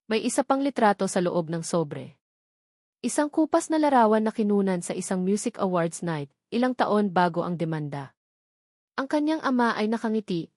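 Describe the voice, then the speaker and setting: A woman's voice: mid-range voice, a little mellow, dry recording, studio quality. A woman narrating a scripted love story in a solemn, suspenseful, dramatic manner.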